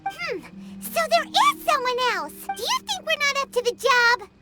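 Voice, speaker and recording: A woman voicing a small, childish, boastful and excitable fairy-like companion in a video game, with a squeaky voice and exclamatory delivery. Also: moderate pace. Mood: indignant. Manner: speaks of self in third person, exclamatory. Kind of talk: video game character voice acting. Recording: loud music behind the voice.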